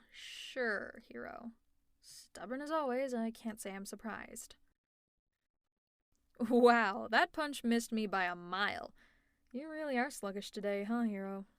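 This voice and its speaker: A woman's voice: fairly high pitch, no room sound, studio quality. A woman performing an audio roleplay as a teasing young villainess who scolds and looks after her exhausted rival. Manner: teasing, exasperated and concerned. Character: teasing caring female villain, young adult. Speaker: ASMR roleplay creator.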